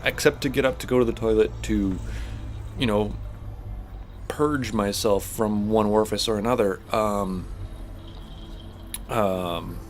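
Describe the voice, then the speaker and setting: A fairly low-voiced man chats loosely over a game session, his speech full of "like" and "um" as he wanders between thoughts. His voice sounds a little stuffed up, and soft music plays underneath. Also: a little slow, long pauses. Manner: rambling, heavy on fillers and restarts. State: slightly stuffed-up voice while recovering from illness. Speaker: gaming channel host. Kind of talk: casual chat while playing a space game.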